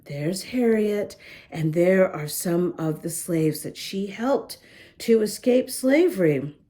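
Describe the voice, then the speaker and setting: A woman reading a picture book aloud to young children, gently pausing to talk to them and show the pages. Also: mid-range voice. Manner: gentle, slow, talks to young listeners. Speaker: children's storybook reader. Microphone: camera close up, showing the book.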